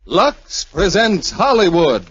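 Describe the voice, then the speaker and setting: A male announcer in vintage 1940s style. His high voice is declamatory and very lively. The broadcast is muffled, with music swelling behind him. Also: slow. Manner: emphatic, declamatory. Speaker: radio announcer. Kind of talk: grand 1940s radio announcing.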